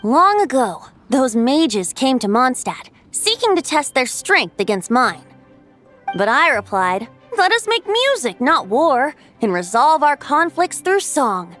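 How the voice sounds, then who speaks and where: A woman's voice: high voice, sing-song, unhurried, a bit bright, exaggerated voice. A woman voicing a playful young male bard god in a game, cheerful and teasing with frequent laughs. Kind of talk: game voice acting. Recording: game audio with music behind.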